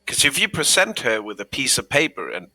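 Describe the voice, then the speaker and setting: A man's voice: medium pitch, sing-song, fairly quick, sharp tone, dry recording, rough recording. A man in his thirties playing a tabletop game, chatting and teasing his friends in a joking way. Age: 30s. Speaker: player. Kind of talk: casual chat between tabletop game turns.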